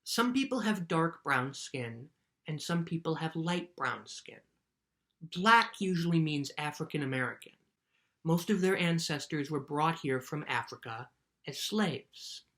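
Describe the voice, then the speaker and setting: A woman playing a calm, patient mother in a children's book reading, explaining in a measured way. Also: sits low, full character voice. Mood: gentle, serious.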